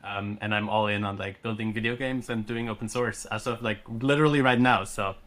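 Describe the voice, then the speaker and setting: A man in his thirties from Austria, a native German speaker, talking as a podcast guest with lots of fillers and quick restarts. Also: lowish voice. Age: 30s. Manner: excited, many fillers and restarts. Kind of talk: casual podcast interview.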